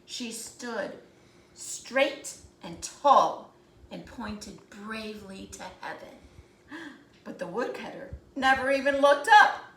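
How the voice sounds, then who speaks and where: A woman narrating a children's storybook aloud with deliberate, dramatic phrasing. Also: on the high side, animated, sharp tone. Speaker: storybook reader. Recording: roomy echo, faint background noise.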